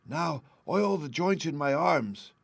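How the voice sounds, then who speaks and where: A man doing a high, sad Tin Woodsman. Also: animated, gravelly, no echo, exaggerated voice. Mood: grateful. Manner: high, strained and slow, sad. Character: polite grateful male tin woodsman.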